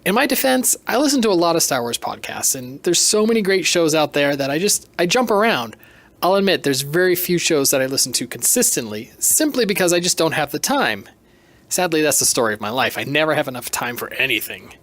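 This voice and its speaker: A man's voice: a little high, sing-song, fairly quick, fairly bright tone. A man hosting a podcast solo, talking in a casual, chatty, self-deprecating and emphatic way. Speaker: podcast host.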